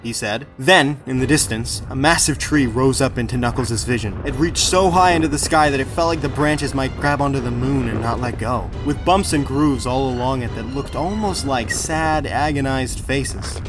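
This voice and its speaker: Male audiobook narrator, mid-pitched, dramatic adventure storytelling, prominent music bed. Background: clear background music.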